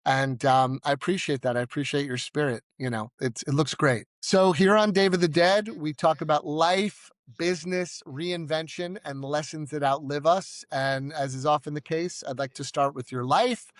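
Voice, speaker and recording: A man's voice: fairly high pitch, fairly even intonation, moderate pace, hardly any pauses, no room sound, studio quality. A man hosting a podcast, introducing his guest and asking questions with an upbeat, emphatic delivery. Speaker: podcast host.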